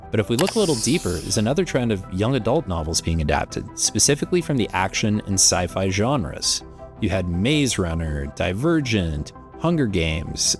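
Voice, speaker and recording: Man, slightly low voice, casual explainer, informal, direct address, background music. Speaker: co-presenter reporting research. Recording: music under the voice.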